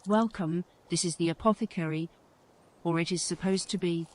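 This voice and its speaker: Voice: medium pitch, steady tone, normal speed, darkish tone, close to an everyday voice. An actor playing a courteous, helpful alchemist who keeps an apothecary in an audio game. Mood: welcoming. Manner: polite, helpful. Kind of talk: audio game character acting.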